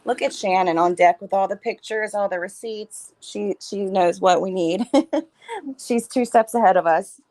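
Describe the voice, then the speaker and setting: Slightly raspy woman co-hosting. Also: mid-range voice, measured pace, a little mellow, slight background noise. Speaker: co-host. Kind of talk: casual livestream chat.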